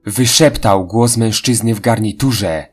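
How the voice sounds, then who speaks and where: A man's voice: lowish voice, unhurried, breathy edge. A man reading scripted horror narration in a dramatic storytelling style. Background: faint music.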